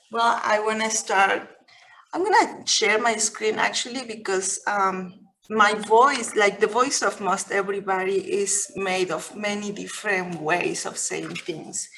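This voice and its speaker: A middle-aged woman from Mexico with a Mexican Spanish accent, whose first language is Spanish, gives a reflective public lecture over a video call, gently and with many pauses and restarts. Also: mid-range voice, low-quality recording. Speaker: guest lecturer.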